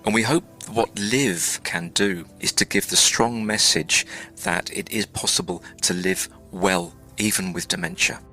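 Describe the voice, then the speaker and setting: A man being interviewed, explaining a project in measured, explanatory answers. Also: low voice, pushed and bright, faint music behind.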